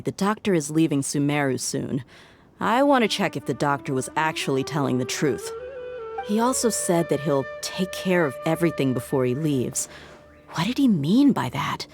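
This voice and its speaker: A woman's voice: low-pitched, very animated, moderate pace, a little mellow. An actress playing a shrewd, confident female mercenary tracker in a video game, with a cool, assured manner. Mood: confident, focused. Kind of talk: scripted video game voice acting. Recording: music behind the voice. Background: music.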